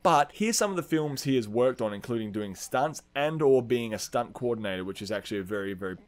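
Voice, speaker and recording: A man's voice: mid-range voice, animated, a little fast. A man hosting a film-fan podcast, chatting casually with plenty of swearing in a rambling, enthusiastic, slangy way. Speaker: podcast host.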